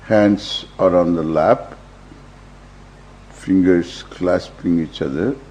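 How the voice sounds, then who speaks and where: An older man with an Indian accent guiding a meditation as a teacher, in measured short phrases with long pauses. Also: low-pitched, darkish tone. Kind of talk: guided meditation, slow instruction. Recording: dull lo-fi recording with faint hum.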